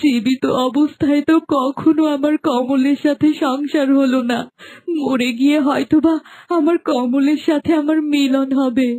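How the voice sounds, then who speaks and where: A woman's voice: high-pitched, steady tone, a little slow, darkish tone. A woman playing a devoted, heartbroken young woman in a melodramatic cartoon, with a plaintive, dramatic delivery. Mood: grieving. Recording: faint music under the voice.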